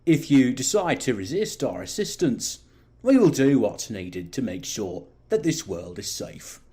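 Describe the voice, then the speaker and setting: A man voicing a courteous, condescending alien diplomat who speaks in measured, veiled threats for an audio drama. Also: medium pitch, sing-song, dark tone, breathy edge. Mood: polite, sinister. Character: polite, smugly menacing male alien diplomat, condescending.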